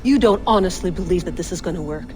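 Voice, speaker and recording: An actress playing a composed, authoritative head of state, firm and formal, in a dramatic video game. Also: female voice, lowish voice, quick pace, lightly acted. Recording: loud music behind.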